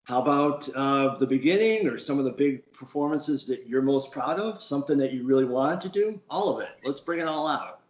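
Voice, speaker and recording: A man's voice: mid-range voice, animated, brisk, few pauses, echoey room, lo-fi sound. Chatty, complimentary male interviewer. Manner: chatty and complimentary, with stumbling restarts. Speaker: interview host. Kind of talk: casual interview chat.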